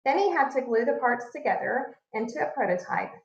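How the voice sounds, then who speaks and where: A woman reading a children's picture book aloud like a teacher, expressive and deliberate, leaning on key words. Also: high-pitched, vocal fry. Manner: expressive, deliberate storytelling with emphasis. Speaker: read-aloud narrator, teacher. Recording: echoey room, slightly muffled sound.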